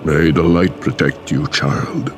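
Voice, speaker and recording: A man playing a grave, noble, weary human knight commander in a fantasy game cutscene, solemn and gravelly. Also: a bit bright, slight background noise, heavily acted. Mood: pained. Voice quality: low, gravelly. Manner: slow, solemn, burdened.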